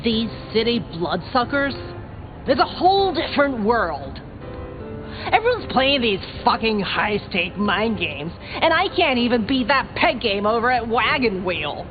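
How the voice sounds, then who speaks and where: A woman's voice: a little high, very animated, a little slow. An actress playing a brash, sarcastic young female vampire in a video game, a scrappy small-town newcomer who talks in slangy, sardonic, sweary bursts. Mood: frank, frustrated. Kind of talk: video game character dialogue. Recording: dull game audio under clear music.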